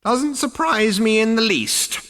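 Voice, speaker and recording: A man voicing a tiny, ancient two-headed male ghost who snaps and scolds in a rasping, screechy voice. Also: sits high, expressive, measured pace, a bit bright, long reverb tail, voice pushed up. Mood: scolding. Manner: snappish, disdainful scolding.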